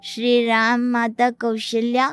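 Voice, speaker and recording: A woman's voice: on the high side, monotone, slow, clean tone, soft background music. A woman voicing a sweet, loyal little boy in a cartoon story, earnest and childlike. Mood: earnest defiance.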